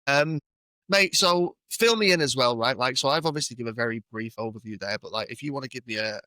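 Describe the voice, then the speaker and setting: A man's voice: mid-range voice, quick pace, pushed and bright, dry recording. A man with a British accent hosting a podcast in a casual, matey interview style. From United Kingdom. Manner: matey, informal banter. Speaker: podcast host.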